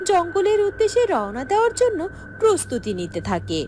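A woman's voice: very high-pitched, huge pitch swings, brisk, background noise. A woman storyteller reading scripted narration for a cartoon fairy tale.

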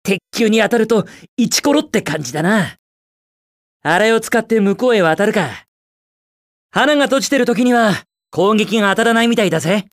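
A man's voice: sits high, expressive, moderate pace, dry recording, studio quality. A man voicing a cocky, carefree young hedgehog speedster hero in a video game, with a cool, brash, quippy delivery. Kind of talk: Japanese video game character voice lines.

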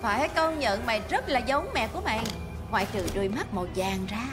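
Scornful high-voiced robot villainess played by a woman. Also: expressive, a little fast, lightly acted. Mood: mocking. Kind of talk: dubbed cartoon villain dialogue. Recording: music under cartoon dialogue.

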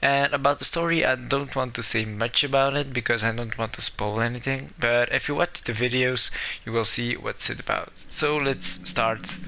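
A man's voice: medium pitch, steady tone, moderate pace, gravelly, hardly any pauses, bright, forceful tone, a little hiss or hum. A young Belgian man with a Flemish accent and Dutch as his first language, giving hesitant, apologetic let's-play commentary in English. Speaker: gaming YouTuber. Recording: game music playing underneath.

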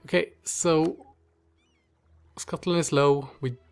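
A man's voice: on the high side, fairly even intonation, clear, resonant tone. Sniffly man thinking aloud over gameplay. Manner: halting, thinking aloud with many fillers. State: stuffy nose, strained voice. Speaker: gaming channel host. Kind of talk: casual gameplay commentary.

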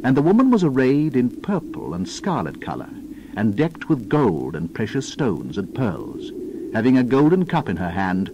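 A man giving a solemn, theatrical dramatized reading of scripture as a narrator, with deliberate emphasis. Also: medium pitch, wildly swooping pitch, lo-fi sound, noisy background. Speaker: Bible narrator.

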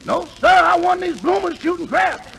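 An easygoing stranger on a camel in a 1940s radio comedy. The voice is extremely gravelly and pitched very high. Heavy noise from the old broadcast covers it. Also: male voice, moderate pace, full character voice. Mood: wry.

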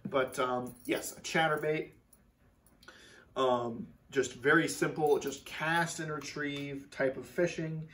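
A man's voice: fairly high pitch, slow pace, far from the mic. Young man with a casual chatty tone. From Minnesota. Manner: relaxed, many fillers, repeats words for emphasis. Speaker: fishing channel host. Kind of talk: casual tackle walkthrough. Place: garage workshop, seated indoors. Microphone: camera a few feet away.

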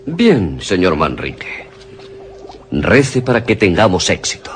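A man's voice: deep voice, big pitch swings, slow pace, soft background music, full character voice. An actor playing an obsessed, menacing scientist and father in melodramatic, commanding suspense radio theatre. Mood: menacing, resolute.

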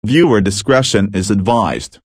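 A man's voice: fairly low pitch, animated, moderate pace, heavy background noise. A man reading a scripted disclaimer as an announcer. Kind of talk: scripted warning narration.

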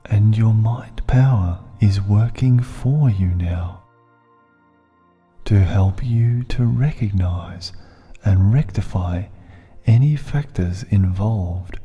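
A man with a dark, mellow voice leads a guided meditation in soft, breathy phrases. His delivery is very slow and soothing, with long pauses. The voice sits in a long reverb over music. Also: lowish voice, muffled recording.

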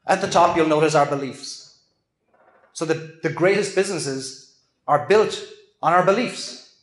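A middle-aged man giving a conference keynote, earnest and measured, with self-deprecating asides. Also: on the high side. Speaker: keynote speaker. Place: large event hall with an audience. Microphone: handheld microphone at a lectern. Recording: echoey hall.

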